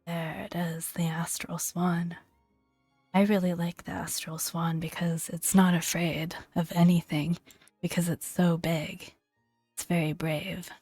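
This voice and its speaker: A woman doing soft-spoken ASMR game commentary in a breathy, gentle near-whisper, murmuring along without hurry. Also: on the low side, flat delivery, unhurried, no room sound, faint music behind. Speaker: video game content creator.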